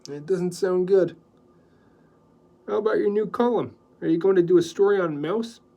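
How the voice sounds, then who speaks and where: Man doing blunt cautious editor, slightly higher. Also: moderate pace, vocal fry, dark tone. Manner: blunt, warning.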